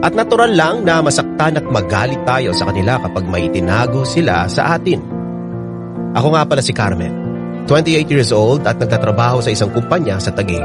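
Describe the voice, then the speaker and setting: A man's voice: mid-range voice, sing-song, brisk. A man reading a listener's letter as scripted, dramatic first-person narration on a radio drama program. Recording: loud music bed under the voice. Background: loud music.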